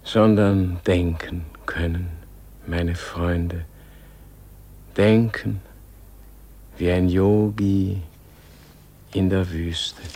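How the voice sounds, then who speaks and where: A man playing a dying, serene Gandhi in a solemn radio play, speaking in a gentle, contemplative, weary manner. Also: low voice, steady tone, drawn-out words, long pauses, full character voice.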